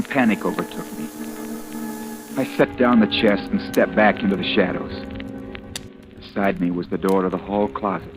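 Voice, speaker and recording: An actor playing a haunted fugitive who narrates his own story gravely and deliberately in an old radio drama. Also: on the low side, darkish tone, lightly acted, low-quality recording. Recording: old radio recording with music behind.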